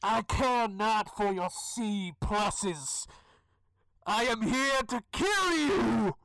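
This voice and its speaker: A menacing construct of living steel in a tabletop game. It speaks extremely slowly in a high, gravelly voice, making grandiose villainous threats. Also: male voice, animated, fairly bright tone, dry recording, full character voice, poor audio. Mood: menacing, haughty. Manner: slow, grandiose, theatrical threats. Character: menacing steel golem predator, ancient villain speaking into minds.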